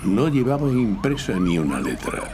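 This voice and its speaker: An actor playing a dry, self-important lawyer who narrates his case in a measured, literary audio drama style. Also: mid-range voice, huge pitch swings, noisy background. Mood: dry, narrating.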